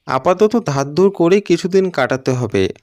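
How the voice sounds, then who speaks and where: Man playing an animated, kindly poor woodcutter. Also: a little high, measured pace, pitched above the performer's own voice. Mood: homely, resigned. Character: poor kindly woodcutter father, weary but dutiful. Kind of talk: cartoon story voice acting.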